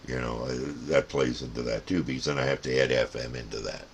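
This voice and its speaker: A man's voice: rumbling low, fairly even intonation, fairly quick, a little hiss or hum. An older man giving a casual, rambling, folksy explanation as a plain-spoken hobbyist host. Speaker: radio hobbyist and channel host.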